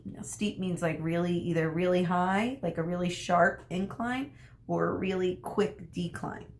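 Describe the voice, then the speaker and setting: A woman speaking as an elementary school teacher, reading a story aloud to children and pausing to explain things. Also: medium pitch. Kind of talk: read-aloud lesson for children.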